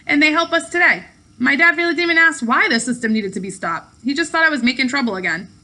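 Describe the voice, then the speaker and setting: A woman's voice: high-pitched, animated, quick pace, pushed and bright. A woman voicing a bold, breezy, sarcastic young teen girl in a storybook read-aloud. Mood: teasing, breezy. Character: bold, flippant young teen girl, mischievous hidden child.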